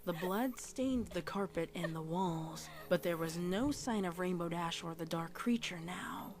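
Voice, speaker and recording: A woman's voice: on the low side, a little slow, close to an everyday voice. A woman reading a horror story as a narrator, in a dramatic scripted style. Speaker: narrator of a fan fiction audio reading. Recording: music behind the voice. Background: music.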